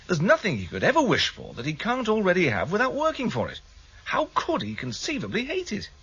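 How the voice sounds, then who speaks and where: Wry british police boss in 70s radio play, husky, swoops about a lot, musing and sceptical. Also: male voice, sits high, huge pitch swings, fairly quick, fairly bright tone, heavily acted. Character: wry, sceptical senior male police detective, world-weary. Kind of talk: 1970s British radio drama acting. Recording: dull, lo-fi 1978 radio broadcast.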